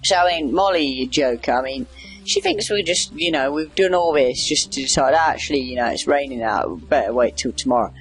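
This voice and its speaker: A man's voice: medium pitch, brisk, a bit bright, soft background music, poor audio. A man giving casual, rambling video game commentary, wry and chatty as he reacts aloud. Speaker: gamer doing a video game playthrough.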